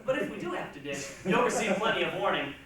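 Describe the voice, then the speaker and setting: Casting: folksy male airline captain, played by a man, breezy and hungover, lively pitch, heard far off in a big echo. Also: fast, a loud crowd. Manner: breezy, rambling. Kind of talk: staged comic monologue. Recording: distant, echoey, noisy stage recording.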